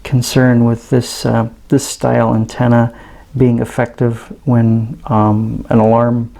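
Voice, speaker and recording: Mellow, gravelly American man reviewing gadgets. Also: mid-range voice, monotone, slow pace. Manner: hesitant, thinking aloud. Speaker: radio reviewer. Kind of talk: casual unscripted product review.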